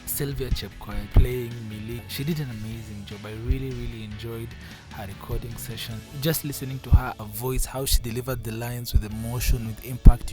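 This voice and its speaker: A Kenyan man speaks as a radio drama writer in an interview, telling his own account. His mid-pitched voice sits over clear background music.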